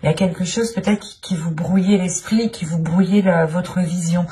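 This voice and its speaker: A woman's voice: lowish voice, fairly even intonation, moderate pace, mellow. A woman giving an improvised tarot reading as a channel host, speaking in a searching way and often repeating her phrases.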